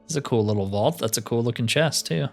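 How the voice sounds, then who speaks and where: A man's voice: medium pitch, fast talker, creaky. A man giving casual gameplay commentary, thinking aloud with dry, joking asides. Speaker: gamer narrating a roleplay playthrough. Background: game music.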